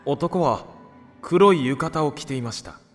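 An actor playing a young student giving testimony as a witness in a radio drama. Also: male voice, on the high side, lively intonation, measured pace, faint music behind. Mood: earnest.

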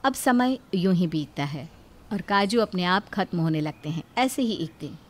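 A woman's voice: on the low side, expressive, normal speed. A woman narrator telling a cartoon story in scripted storytelling style.

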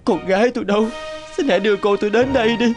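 A man's voice: high-pitched, fast talker, natural voice. A man playing a devoted, anxious young husband and new father in a dubbed cartoon drama, speaking earnestly and emotively. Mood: anguished, pleading. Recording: music behind the voice.

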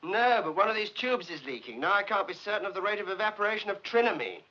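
An actor playing a brisk, fussy rocket-fuel technician in 1950s film style. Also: male voice, high-pitched, animated, pushed and bright, heavily acted. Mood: vexed. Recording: muffled noisy 1950s film soundtrack.

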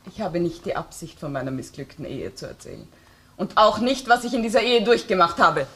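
A woman's voice: lowish voice, expressive, brisk, fairly bright tone. An actress playing a proud, bitter woman under police questioning in a crime drama, speaking formally and defiantly.

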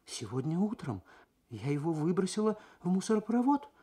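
A male reader acts a foreign traveller's wife in a radio story. The voice is raised in pitch, flustered and protesting, with small hesitations. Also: very animated, moderate pace, mellow, slightly rough, heavily acted. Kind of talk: dramatic radio story reading.

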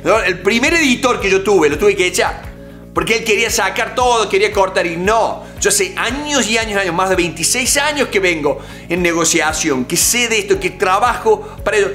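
Emphatic man, bright high voice. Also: a little fast, faint music behind. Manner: emphatic, animated, gestures with hands. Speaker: negotiation coach. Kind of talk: direct-to-camera coaching talk. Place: home office with bookshelves and art.

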